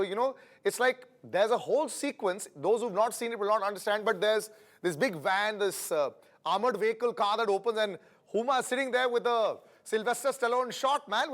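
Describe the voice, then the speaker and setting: A middle-aged male host interviews a film guest in a relaxed, chatty way. His voice sits high for a man, and his questions wander on with fillers before landing. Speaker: entertainment interviewer.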